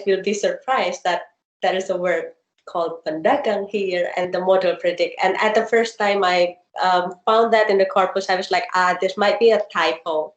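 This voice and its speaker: Woman researcher, Indonesian first language, measured and unhurried, walking through examples over a video call in a roomy, echoing space. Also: on the low side. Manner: thoughtful, explains with examples, many ums. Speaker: researcher presenting her dissertation.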